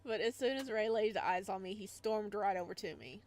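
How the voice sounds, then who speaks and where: A woman's voice: mid-range voice, normal speed, a little mellow. A woman hosting a playthrough, reading visual novel narration aloud expressively, with casual asides.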